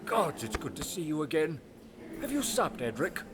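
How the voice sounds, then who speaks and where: A high-voiced, kindly old king. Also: very animated, moderate pace, noisy background. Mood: warm, delighted. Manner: self-effacing, folksy, eager to please. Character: kindly, humble, fussing old king and loving father. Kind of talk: audio drama acting.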